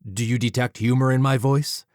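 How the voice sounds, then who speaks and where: A man voicing a resolute demigod hunter of murderers in an audiobook, measured and accusing, with a dry, deadpan edge. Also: medium pitch, dry recording, voice pushed up, close to an everyday voice. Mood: cold, droll.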